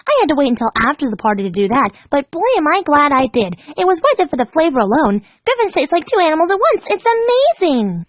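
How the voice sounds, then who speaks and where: A woman's voice: high-pitched, big pitch swings, fast, few pauses, no room sound, pitched above the performer's own voice. A woman voicing a manic, cheerful female cartoon pony who chatters with childish glee and menace as she torments her friend in a fan story. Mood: gleeful.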